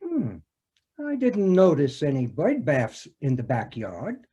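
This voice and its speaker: A man playing a pompous, deliberate police detective in a comic stage scene, speaking formally with hesitant, wordy phrasing. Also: on the high side, huge pitch swings, mellow, slightly rough, full character voice. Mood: probing, dry. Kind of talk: comic readers theater scene.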